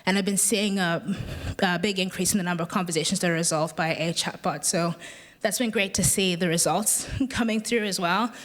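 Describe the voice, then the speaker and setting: A woman's voice: fairly low pitch, fairly quick. A woman presenting at a business event, explaining things in a conversational way. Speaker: presenter from a software company's customer support side.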